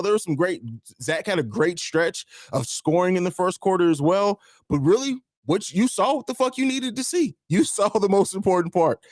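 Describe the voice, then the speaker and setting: A hoarse man with a raspy voice hosting a live fan stream, talking emphatically and repeating himself, with casual swearing. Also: a little high, no room sound, studio quality. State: hoarse from screaming. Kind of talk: excited sports fan stream chat.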